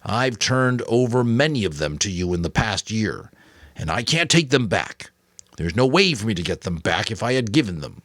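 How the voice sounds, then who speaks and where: A man's voice: lowish voice, a bit bright, no room sound, clean studio sound, heavily acted. A man voicing a weary but firm old mentor, grave, caring and earnest, in a dramatic audiobook reading. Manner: earnest, emphatic, deliberate.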